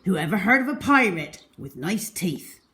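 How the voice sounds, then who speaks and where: A woman voicing a blustering male pirate captain from a children's storybook, speaking loudly in pirate talk. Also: medium pitch, sing-song, normal speed. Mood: scornful. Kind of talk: storybook character voice.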